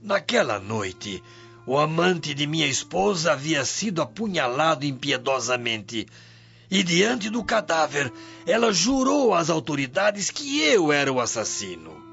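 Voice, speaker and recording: Slow, creaky, solemn old Brazilian sailor. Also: male voice, a little high, big pitch swings, a bit bright, exaggerated voice. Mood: sorrowful, resigned. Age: older. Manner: solemn, emotive storytelling. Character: weary, humble old Roman sailor recounting his past. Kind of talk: Brazilian radio drama acting. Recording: muffled radio drama with music behind.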